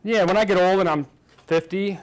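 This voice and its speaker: A man's voice: high voice, steady tone, brisk, rough recording. A man in his forties hosting a baking show, chatting and teasing his guest as he bakes. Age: 40s. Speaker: cooking show host. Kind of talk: casual chat while baking.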